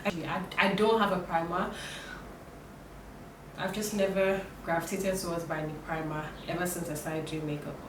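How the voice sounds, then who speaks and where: A young woman with a croaky voice from a cold, chatting casually as she walks through a makeup tutorial, with lots of fillers and restarts. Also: on the low side, fairly even intonation, normal speed. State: hoarse from a cold. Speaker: beauty vlogger. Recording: roomy, distant, noisy home recording.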